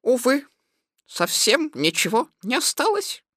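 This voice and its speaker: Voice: medium pitch, very animated, normal speed, sharp tone, no echo, clean studio sound. An actor playing a fussy rabbit in a children's audio tale, polite but stern, with a prim, lecturing manner. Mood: polite, sly.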